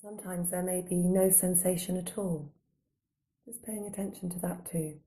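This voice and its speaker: Very mellow, soft-toned woman guiding meditation. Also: fairly low pitch. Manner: calm, unhurried, gentle phrasing with long pauses. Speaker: meditation guide. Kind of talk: guided body scan meditation, slow soothing instruction.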